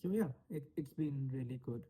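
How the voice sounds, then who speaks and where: A man in his late twenties with an Indian accent, answering as a panel guest in measured, halting phrases. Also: medium pitch, animated, mellow, slightly rough. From Delhi, India. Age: late 20s. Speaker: panel guest, analytics manager. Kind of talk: conversational self-introduction and answers.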